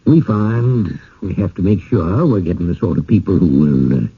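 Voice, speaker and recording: An actor playing a fussy, genial village widower in a radio drama, courteous, apologetic and given to stammering. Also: male voice, low voice, very animated, normal speed, gravelly, husky, full character voice. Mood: hesitant, flustered. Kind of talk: 1980s radio drama acting. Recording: muffled old radio broadcast.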